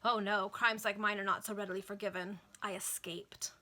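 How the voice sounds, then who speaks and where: A woman's voice: mid-range voice, flat delivery, moderate pace, sharp tone, lightly acted. Hollow, wretched male convict, voiced by a woman. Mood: sorrowful. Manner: melancholy, subdued. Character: wretched, starving, sorrowful escaped male convict.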